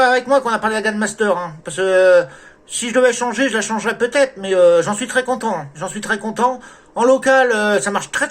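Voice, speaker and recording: A man with a high voice talks as an amateur radio operator. His style is casual and conversational, and he often closes his phrases with short tag words. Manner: frequent "hein" and "là" tags. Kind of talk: casual radio contact chat. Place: at home radio station. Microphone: desk microphone through a mixer.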